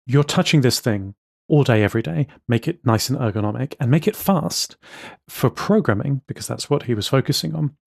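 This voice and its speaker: A man's voice: mid-range voice, animated, brisk, vocal fry, dry recording. A man co-hosting a casual podcast, opinionated and wry, with dry sarcasm in how he talks. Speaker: podcast co-host, programmer.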